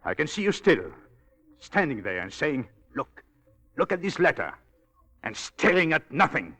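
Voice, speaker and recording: An actor playing a cold, manipulative husband with a deliberate, insinuating manner, in a 1940s radio drama style. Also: male voice, a little high, very animated, pushed and bright, exaggerated voice. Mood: accusing, cruel. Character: cold, domineering, manipulative husband, smoothly cruel.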